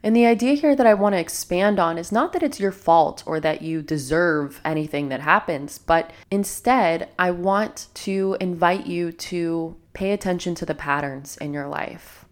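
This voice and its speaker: A woman's voice: fairly low pitch, moderate pace, few pauses. A young woman hosting a solo self-help podcast, slightly nasal and sniffly from a cold, speaking in an earnest, reflective way. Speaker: podcast host.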